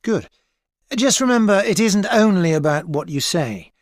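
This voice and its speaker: An actress playing an experienced tutor advising a student in a scripted exam dialogue, calm and measured. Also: female voice, mid-range voice, dry recording, lightly acted. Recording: dry studio recording.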